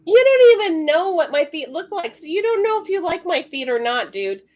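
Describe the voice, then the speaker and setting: A woman with a raspy voice giving a live-stream psychic card reading, chatting directly with viewers in the comments. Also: very high voice, sing-song, brisk, darkish tone. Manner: chatty, talks to viewers in the chat. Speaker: psychic reader.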